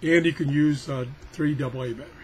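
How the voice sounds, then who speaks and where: A man's voice: fairly high pitch, fairly even intonation, fast talker. An older man casually demonstrating a product in a rambling, folksy, self-deprecating, grumbling way. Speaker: product reviewer. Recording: clearly noisy amateur recording.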